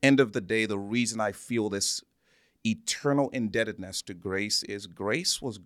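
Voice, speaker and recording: Earnest, low-pitched man from Zambia. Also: normal speed, fairly bright tone, no echo, studio quality. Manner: earnest, emphatic repetition. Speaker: interview guest, church minister. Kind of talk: conversational interview, personal storytelling.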